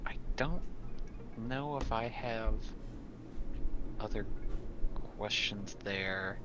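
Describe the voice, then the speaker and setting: A man's voice: lowish voice, extremely slow. A man playing an online tabletop roleplaying game, chatting casually and tentatively as he thinks aloud. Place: indoors at a computer, online game session. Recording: dull lo-fi voice chat, background noise.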